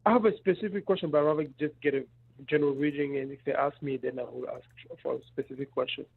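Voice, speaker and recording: A man's voice: fairly high pitch, steady tone, quick pace, vocal fry, breathy edge, no echo. A man in his fifties from the Republic of the Congo, calling in to a live show and speaking hesitantly. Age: 50s. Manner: hesitant, unsure. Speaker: caller. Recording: muffled phone line.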